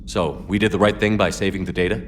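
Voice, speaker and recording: An actor playing a human military commander in a video game, terse and probing with calm authority. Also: male voice, low-pitched, fast talker, a little hiss or hum, lightly acted. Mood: probing, serious. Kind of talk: video game character dialogue.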